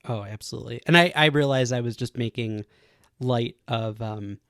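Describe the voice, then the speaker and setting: A man with a mid-pitched voice hosts a casual podcast interview. He speaks effusively and admiringly to his guest. The sound is dry, with very little room to it. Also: moderate pace, a bit bright, clear, resonant tone, dry recording.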